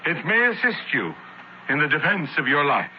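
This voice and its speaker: An actor playing a brisk, official Roman centurion with firm, clipped formal speech in an old-time radio drama. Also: male voice, a little high, very animated. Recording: muffled, hissy old radio recording.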